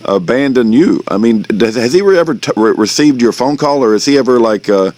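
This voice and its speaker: A man chatting casually in a phone conversation, folksy and a bit halting as he restarts his questions. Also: medium pitch, lively intonation, a little mellow, no echo. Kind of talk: casual chat.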